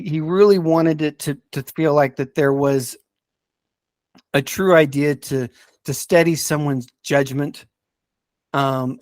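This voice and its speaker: A man in a casual podcast discussion, speaking in a hesitant, halting way and often repeating words as he reviews stories. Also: on the high side, dry recording, clean studio sound.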